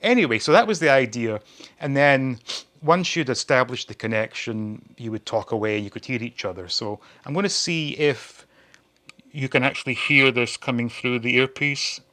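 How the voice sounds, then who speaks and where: A man's voice: mid-range voice, moderate pace, pushed and bright. Casual man in his forties reviewing retro toys. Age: 40s. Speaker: host of a retro toy channel. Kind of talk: casual hobby review to viewers.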